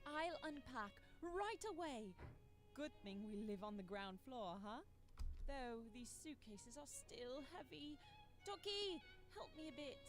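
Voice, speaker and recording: Animated high-pitched young woman game heroine. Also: unhurried, over music. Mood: strained. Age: young adult. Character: young woman heroine, perky, flustered, chatting to her pet chicken. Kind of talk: visual novel voice acting.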